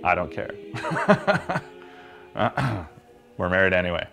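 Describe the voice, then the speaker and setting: A man's voice: low voice, slow pace, vocal fry, music in the background. A man talking casually and reflectively in an interview, in hesitant, meandering phrases. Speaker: interviewee in a documentary.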